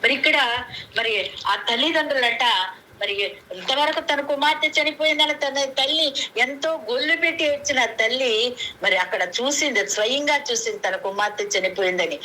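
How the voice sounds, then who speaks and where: A woman's voice: mid-range voice, sharp tone. A woman preaching earnestly to a prayer meeting over a conference call, repeating phrases for emphasis. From Andhra Pradesh, India. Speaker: lay preacher. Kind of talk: sermon, retelling scripture to a prayer meeting. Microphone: conference call phone. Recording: noisy conference call recording.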